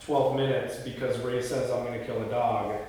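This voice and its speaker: A man's voice: mid-range voice, fairly even intonation, moderate pace, warm tone. Man in a very echoey room, directing. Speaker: film director leading a script table read. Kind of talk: casual group discussion, reading stage directions. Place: room with a group at a table read. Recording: echoey reverberant room, distant mic, faint noise.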